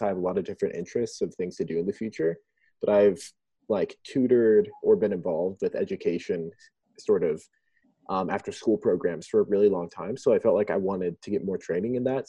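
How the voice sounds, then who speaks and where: A man's voice: sits low, mellow, slightly rough, no room sound. A young man from Thailand, a student guest, answering interview questions over a remote call in a thoughtful, rambling, hesitant way. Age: young adult.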